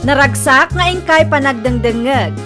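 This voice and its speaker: A woman with an Ilocano accent announcing a radio drama programme in a scripted, theatrical style over loud music. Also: high voice, moderate pace. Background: loud playful music.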